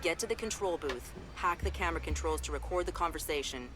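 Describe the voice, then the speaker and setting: A woman playing a brisk, controlled intelligence operative giving clipped, businesslike instructions in a video game cutscene. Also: low voice, a bit bright, faint music behind. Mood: businesslike, instructive.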